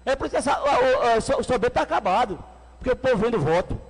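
A man in his forties with a Pernambuco accent giving an angry, shouting speech as a city councilman at a desk microphone. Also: very high voice, expressive, quick pace. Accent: Pernambuco Brazilian Portuguese. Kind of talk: heated council floor speech. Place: council chamber indoors. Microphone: desk microphone on stand. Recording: long reverb of a council hall.

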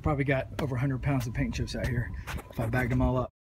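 A man's voice: mid-range voice, little pitch movement, fast. Plain-spoken man outdoors, noisy phone audio. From United States. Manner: plain, matter-of-fact, with fillers and restarts. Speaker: pressure washing contractor. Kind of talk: casual walkthrough narration. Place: outdoor high school stadium stands.

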